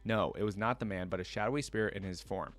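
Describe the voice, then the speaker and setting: A man's voice: lowish voice, quick pace. A man hosting a reaction video, reading a fantasy story aloud and breaking off for casual comments.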